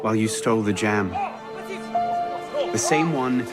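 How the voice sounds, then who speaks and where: A man's voice: lowish voice, measured pace, lightly acted. An actor playing an earnest, determined young male assassin in a dramatic video game. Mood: sincere, pleading. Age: young adult. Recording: loud music behind the voice.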